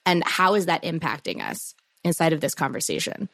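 A woman's voice: deep voice, big pitch swings, a little fast, creaky, fairly bright tone, dry recording, studio quality. A woman co-hosting a podcast with a smoky voice, introducing a guest and asking questions in an upbeat, casual way. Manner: upbeat, friendly, fillers and restarts. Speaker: podcast co-host.

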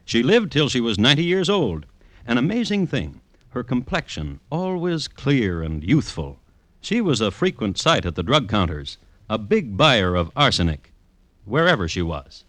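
An actor playing an urbane, droll crime connoisseur who narrates old murders in a deliberate 1950s radio style. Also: male voice, medium pitch, sing-song, a bit bright, dry recording. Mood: dry, deliberate. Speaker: host and narrator.

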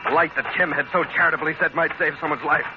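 An American actor playing a tough sea captain in a 1940s radio adventure, speaking in a clipped, dramatic, hard-boiled way. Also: male voice, fairly high pitch, steady tone, fast talker, close to an everyday voice. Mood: tense. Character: tough male sea captain of a sailing ketch. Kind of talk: 1940s radio adventure acting, first-person narration. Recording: muffled, very noisy old radio recording.

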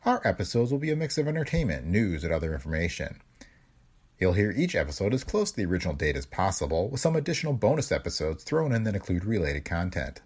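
Low, lively podcast host, a man. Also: fast, breathy edge. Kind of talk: scripted podcast introduction.

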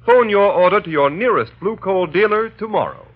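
A male announcer from old-time radio, formal and emphatic, with a highish, very animated voice. The recording sounds muffled. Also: normal speed, over music. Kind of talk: 1930s radio announcing, scripted.

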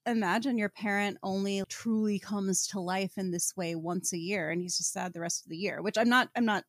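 A woman's voice: medium pitch, steady tone, normal speed, dry recording. Wry woman podcaster, close dry sound. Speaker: podcast co-host. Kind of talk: casual book discussion podcast.

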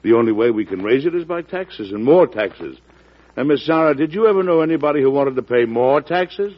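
A man's voice: medium pitch, lively intonation, brisk, natural voice. A man playing a wry, joking president. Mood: grave. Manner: jovial and teasing, then firm. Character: wry, good-humored male president. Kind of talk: 1940s radio acting. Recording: dull old radio recording.

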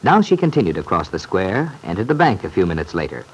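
Male serial narrator, old-time radio style; lowish, rough-edged voice telling the story. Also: sing-song, quick pace, low-quality recording.

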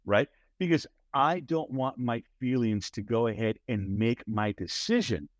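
This is a man chatting casually on a podcast, expressive and animated, with a big voice that sits at an ordinary middle pitch. He restarts his sentences and keeps checking in with his co-host. The sound is dry and close, with no room in it. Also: measured pace, no echo. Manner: many restarts and repeated "right?".

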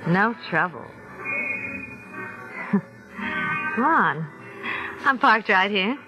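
Slow-talking, teasing radio femme fatale. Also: female voice, mid-range voice, very animated. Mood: coaxing. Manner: coy, teasing, drawn-out coaxing. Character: flirtatious, coaxing married woman, bold and teasing. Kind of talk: old-time radio drama dialogue. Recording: dull vintage radio recording with music.

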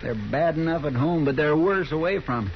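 A man acting an excitable, grumbling deputy in a 1950s radio western, with a Southern drawl and a folksy, opinionated manner. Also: fairly high pitch, a little fast. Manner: folksy, opinionated, deferential to his boss. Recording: dull, noisy 1950s radio recording.

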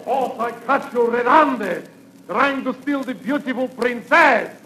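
An actor playing a wicked, gloating Sultan with a gravelly, forceful voice in 1940s radio comedy. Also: male voice, very high-pitched, unhurried, full character voice. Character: wicked desert Sultan, gloating melodramatic villain. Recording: noisy old radio recording.

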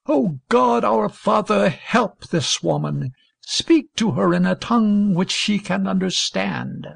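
A woman putting on an old gentle grandfather minister from a storybook, deep and gravelly and husky. he talks slowly, his voice rising and falling in solemn prayer, and the sound is close and dry. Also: sing-song, dry recording, exaggerated voice. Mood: pleading prayer. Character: elderly male minister, gentle, helpless grandfather.

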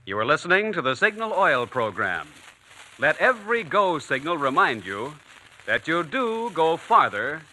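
A man's voice: a little high, very animated, normal speed, over music, low-quality recording. A man announcing in the scripted style of a classic radio sponsor spot.

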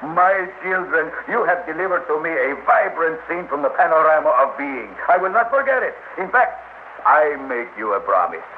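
An actor playing a pompous, temperamental master painter in an old radio drama, grandiloquent and imperious. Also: male voice, a little high, big pitch swings, normal speed, narrow, tinny audio. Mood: delighted, grand. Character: pompous, temperamental, famous male painter living in Mexico. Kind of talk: 1960s radio drama acting. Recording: old, noisy, phone-thin radio recording.

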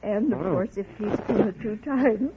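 A woman's voice: medium pitch, moderate pace. A woman in her fifties chatting as a contestant on an old radio quiz show, hesitant but good-humored. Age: 50s. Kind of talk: unscripted chat on a radio show. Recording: noisy, muffled old radio before audience. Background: studio audience, heavy noise.

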